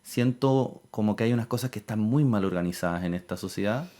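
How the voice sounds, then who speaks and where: A man's voice: fairly low pitch, fairly quick, dark tone. A man with a Chilean accent, hosting a casual, conversational interview.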